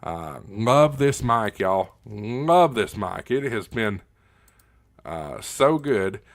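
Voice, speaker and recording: A man hosting a casual livestream, talking in a folksy, halting way with pauses, close up on a studio microphone. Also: lowish voice, animated, vocal fry. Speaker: livestream host of a ham radio channel. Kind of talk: casual livestream chat answering viewer questions. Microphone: close directional studio condenser microphone, spoken right up on it.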